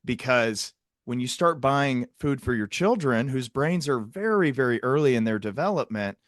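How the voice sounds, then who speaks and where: A man's voice: mid-range voice, animated, moderate pace, no room sound, studio quality. A man hosting a clinical interview podcast, talking in an earnest, wordy way and often rephrasing mid-thought. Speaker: podcast host and psychiatric clinician interviewing a guest. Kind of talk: conversational interview with long reflective remarks.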